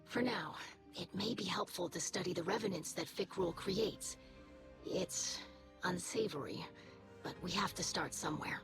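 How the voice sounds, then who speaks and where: A woman voicing an earnest young female alien scholar with a breathy, hushed voice and a thoughtful, soft delivery. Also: fairly low pitch, normal speed, natural voice. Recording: game soundtrack under voice. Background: music.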